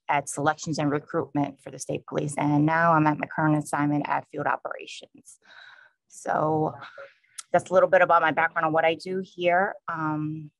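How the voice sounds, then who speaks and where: A man with a New York accent, a police sergeant introducing himself on a panel in a plain, conversational way with many pauses. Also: a little high, little pitch movement, fairly bright tone, no echo. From New York, USA.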